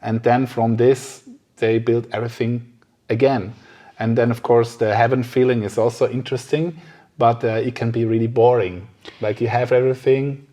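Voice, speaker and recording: Guy hosting a casual podcast, soft mellow voice, kinda hesitant, searching for words as he goes. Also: medium pitch, level tone, moderate pace. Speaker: podcast host. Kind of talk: casual conversational interview.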